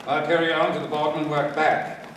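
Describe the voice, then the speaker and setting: An actor playing a commanding police inspector in a radio play, brisk and authoritative. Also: male voice, a little high, level tone, a bit bright, lightly acted. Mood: brisk, businesslike. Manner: authoritative, brusque. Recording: echoey room, distant mic, faint noise.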